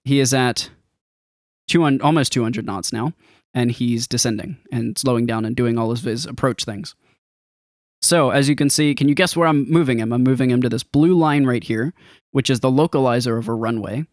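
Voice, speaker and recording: Man giving a casual, close-miked software walkthrough. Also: mid-range voice, moderate pace, dry recording. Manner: informal, rambling, thinking aloud. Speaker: game developer demonstrating own game. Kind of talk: casual screen-recorded software demo and tutorial commentary.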